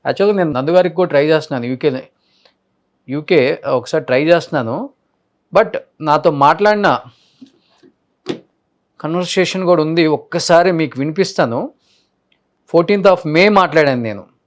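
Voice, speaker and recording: A man's voice: fairly high pitch, moderate pace, long pauses. A male news anchor giving unscripted commentary, speaking emphatically and listing his points, mixing in English words.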